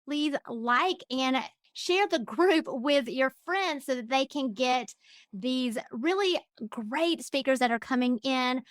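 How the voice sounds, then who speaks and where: A woman's voice: sits high, fairly bright tone, no echo. A woman hosting a podcast, upbeat and encouraging as she interviews a guest. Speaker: podcast host. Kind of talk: scripted intro then casual interview.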